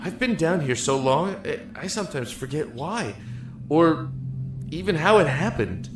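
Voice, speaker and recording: An actor playing an earnest, anguished young man locked in a dark cell, speaking haltingly and emotionally in an audio drama. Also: on the high side, big pitch swings, reverberant. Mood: weary, bitter. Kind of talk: audio drama acting and first-person narration. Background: music.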